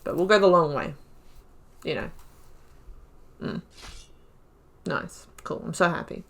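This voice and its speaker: A young woman hosting a casual let's-play, chatting excitably and talking to herself while she plays. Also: on the low side, slow, gravelly. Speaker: gaming channel host.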